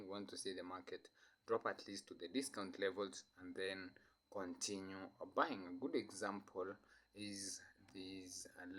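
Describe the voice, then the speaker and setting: A man's voice: fairly low pitch, a bit bright. Man with a cold talking through charts. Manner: hesitant, many fillers and restarts. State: has a flu. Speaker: trading analyst. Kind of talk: casual screen-share chart analysis.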